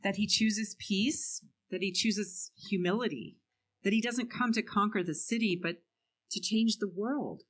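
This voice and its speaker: A woman playing a hopeful, faithful townswoman who answers gently and reassuringly in an amateur church dramatic reading. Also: medium pitch, measured pace, natural voice.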